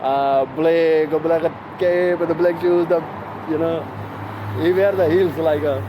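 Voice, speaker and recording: A man walks a city street at night filming a vlog on his phone, chatting with friends in a halting way full of fillers. His voice sits a little high. Traffic rumbles clearly around him. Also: fairly even intonation. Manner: halting, many fillers, switches languages mid-sentence. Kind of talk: casual street vlog chat. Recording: loud street traffic behind.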